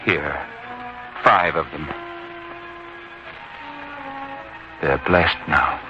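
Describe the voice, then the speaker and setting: A man playing a kindly, reverent disciple, earnest and deliberate, in an old-time radio drama. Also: very deep voice, over music. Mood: awed. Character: kindly, reverent male disciple, gently authoritative. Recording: dull lo-fi radio sound, faint noise.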